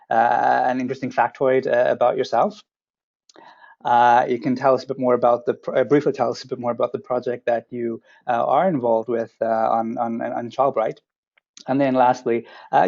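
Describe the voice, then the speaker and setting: A man, not a native English speaker, hosting a training webinar, talking haltingly with many fillers and restarts over lo-fi web audio. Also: medium pitch, gravelly, a little mellow. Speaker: training program coordinator, webinar host. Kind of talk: hesitant webinar presenting.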